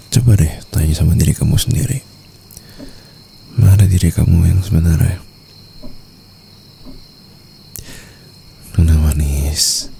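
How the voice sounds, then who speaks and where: A man with an Indonesian accent playing a possessive, tender mafia boss lover in an intimate ASMR roleplay, murmuring in a soothing, teasing, gravelly voice. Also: dark tone, full character voice. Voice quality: deep, gravelly. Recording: faint background noise, night crickets.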